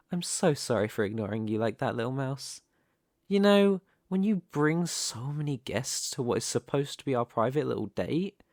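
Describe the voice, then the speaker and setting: A man acts out a possessive mafia boss in an intimate audio roleplay. He speaks slowly and deliberately, taunting with silky menace and smug control. The sound is close and very dry. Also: mid-range voice, a little mellow, no echo. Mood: mocking, amused. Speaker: ASMR roleplay voice performer. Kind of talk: dramatic ASMR roleplay monologue.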